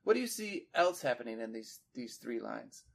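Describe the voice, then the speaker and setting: A man with a noticeable creak in his voice talks through literature as a podcast co-host. His pitch sits in an ordinary middle range. He is analytical and measured, building his explanation point by point. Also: sing-song. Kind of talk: poetry discussion, explanatory.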